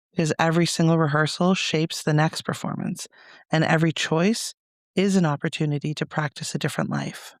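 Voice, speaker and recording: Gravelly, even-toned man guiding a meditation. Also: a little high, dry recording, clean studio sound. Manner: soothing, measured, gentle delivery with pauses. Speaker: meditation guide. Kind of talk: guided meditation, reflective spoken monologue.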